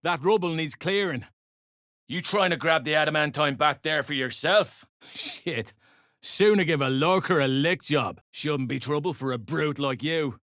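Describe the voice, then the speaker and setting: An actor playing a gruff, greedy, foul-mouthed dwarf overseer in a video game, bossy and sarcastic in clipped phrases. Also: male voice, a little high, unhurried, fairly bright tone, no room sound, clean studio sound. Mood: scornful. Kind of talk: video game character acting.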